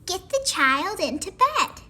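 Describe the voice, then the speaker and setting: Woman as an eager, high-voiced little monster pupil. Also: very animated, slow pace, bright, forceful tone, exaggerated voice.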